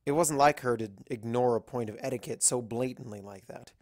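A man narrates a piece of fan fiction in the first person. His voice sits at a medium pitch and is recorded dry, with very little room sound. The delivery is that of a scripted story reading. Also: fairly quick, dry recording.

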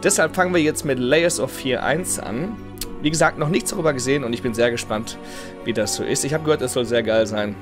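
A man's voice: medium pitch, brisk. A man in his thirties with a cold and a slightly nasal voice, chatting casually as he plays a game and reads its letters aloud from his home gaming room. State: has a cold. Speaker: let's play host. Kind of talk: casual gameplay commentary. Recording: voice over clear game music. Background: game music.

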